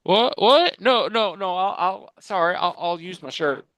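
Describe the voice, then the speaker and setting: A man playing a blissed-out, earnest alien abductee in a comedy sketch read, awed, sentimental and halting. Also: high voice, lively intonation, fairly bright tone, no echo, full character voice. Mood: tearful awe.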